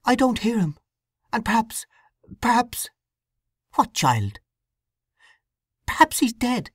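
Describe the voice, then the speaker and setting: Woman voicing a young distraught woman, anguished, pleading, high voice, dry close sound. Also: sing-song, slow, husky, no room sound, full character voice. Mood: fearful.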